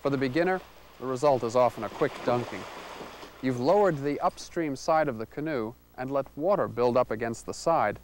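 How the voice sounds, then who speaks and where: A man in his thirties narrating a canoeing lesson, explaining strokes in a clear, measured way. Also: medium pitch, slight background noise. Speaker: canoeing instructor. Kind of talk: instructional narration.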